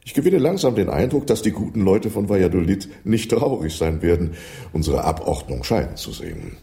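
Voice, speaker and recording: Brisk, self-important English nobleman, radio drama. Low, lively intonation, ceremonious delivery. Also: gravelly, exaggerated voice. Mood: pompous, impatient. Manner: pompous, drawn-out formal phrasing. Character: pompous, brisk English lord leading treaty talks.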